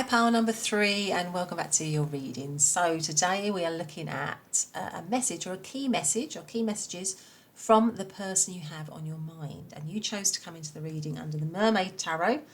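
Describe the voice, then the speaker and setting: A woman with a croaky, slightly nasal voice from a cold, chatting warmly and reassuringly through a pick-a-card tarot reading. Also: lowish voice, moderate pace. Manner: chatty, reassuring, many fillers. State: croaky voice from a cold, blocked nose. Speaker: tarot reader.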